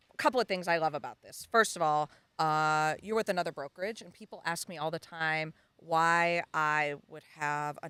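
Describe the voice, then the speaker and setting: A woman's voice: low-pitched, a bit bright, clear, resonant tone, dry recording. A middle-aged woman hosting a podcast interview, upbeat and enthusiastic, often answering "yeah". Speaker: podcast host. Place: outdoors in a backyard.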